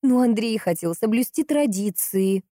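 Female narrator as distraught, hot-tempered sister in crime audiobook: raised pitch, emphatic, impatient, dry sound. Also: expressive, dark tone, dry recording. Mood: agitated, impatient. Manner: emphatic, impatient, drawn-out stresses.

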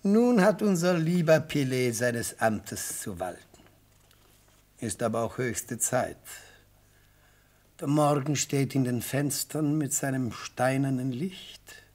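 Old retired lawyer, mid pitch, slow and flowery, radio play style. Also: male voice, a little mellow. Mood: leisurely, satisfied. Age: older. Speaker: actor. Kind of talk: German radio play acting.

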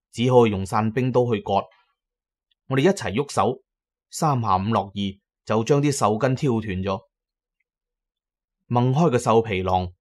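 A man with a Hong Kong Cantonese accent narrating an adventure story in the first person, as in an audio drama. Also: lowish voice.

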